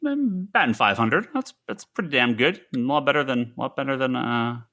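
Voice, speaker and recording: A man's voice: medium pitch, animated, brisk, pushed and bright. A man chatting casually as a podcast co-host. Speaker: podcast co-host, comic shop retailer. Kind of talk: casual panel chat.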